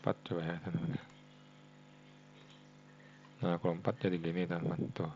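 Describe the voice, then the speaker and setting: A man's voice: low voice, flat delivery, a little fast, a little mellow. A man thinking aloud through a casual screen-share tutorial, addressing his viewers informally as friends. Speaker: web design tutorial host. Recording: dull lo-fi mic, background hum.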